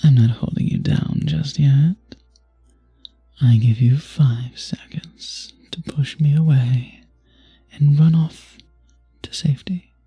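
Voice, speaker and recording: A man voicing a charming, seductive vampire in a romantic ASMR roleplay, husky and breathy with a courtly, teasing manner, often dropping to a whisper. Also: a little high, steady tone, dark tone, soft background music, heavily acted. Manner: slow, courtly, teasing, flirtatious. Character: charming, seductive male vampire at a masquerade ball.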